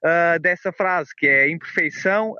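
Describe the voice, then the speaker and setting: A man with a European Portuguese accent explains his trading views patiently, pausing on "ah" fillers. His voice sits a little high and comes through a dull, dry call line. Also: sharp tone, no echo. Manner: measured, explanatory, with many "ah" fillers. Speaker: guest, trader. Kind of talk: casual interview over a call. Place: outdoors on a beach walk. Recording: muffled call audio, dry.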